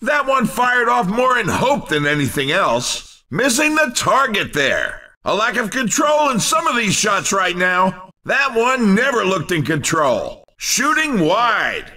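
An excitable male commentator for a racing video game. His high voice swings widely in pitch and rings out brightly. He delivers emphatic, exclamatory sports calls at an unhurried pace. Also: distant mic, full character voice. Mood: excited, critical.

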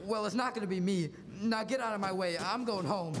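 A man's voice: sits high, a little fast. A young man acting an agitated, irritable small-town family man in a live radio play. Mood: frantic, angry. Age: teenager or young adult. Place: school auditorium stage. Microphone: stand microphone. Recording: echoey hall with long reverb.

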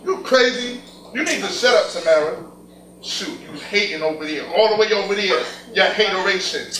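A man's voice: high voice, lively intonation, normal speed, fairly bright tone. A man playing a cocky, joking young man in a comic stage scene, boastful, slangy and playful. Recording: echoey hall, distant mic, audience noise.

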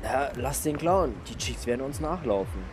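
A man's voice: medium pitch, expressive, normal speed, music in the background, close to an everyday voice. A man playing a cocky young street punk in an audio drama, with slangy, sloppy speech. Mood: cocky, excited.

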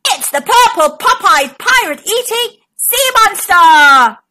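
An excitable pirate captain from a storybook, acted out by a woman, bold and commanding. The voice is high, bright and very lively, with emphatic delivery. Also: slow, heavily acted. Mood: alarmed.

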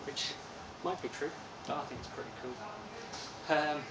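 Young guy giving a chatty talk, soft voice, heard from far back in a roomy hall. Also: a little high, unhurried. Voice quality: soft-spoken. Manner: relaxed, chatty, frequent ums. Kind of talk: informal lecture. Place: lecture room with projector, indoors. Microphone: far room camera. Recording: echoey room from far off with loud hiss.

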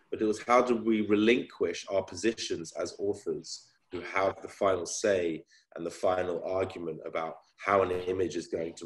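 A man's voice: low-pitched, rough recording. A man speaking as a filmmaker on an online panel, reflecting in a thoughtful, searching way and rephrasing as he goes. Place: indoor room on a video call. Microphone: video call.

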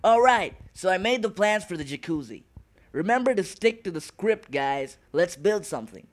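Cartoon big brother, twelve played by a man: high voice, eager overconfident schemer, dramatic delivery. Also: animated, normal speed. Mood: determined. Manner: dramatic, self-assured. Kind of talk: cartoon voice acting.

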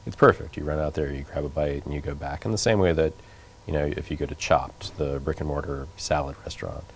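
A middle-aged man explaining his view in an interview, relaxed and unhurried. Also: rumbling low, gravelly, a little hiss or hum. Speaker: advocacy director. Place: indoors on a couch.

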